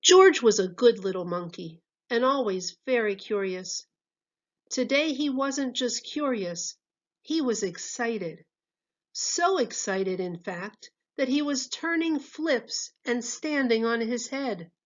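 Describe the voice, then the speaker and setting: Teacher reading a picture book to kids: a woman, expressive and unhurried, slightly dull recording. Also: a little high. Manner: expressive, lively read-aloud.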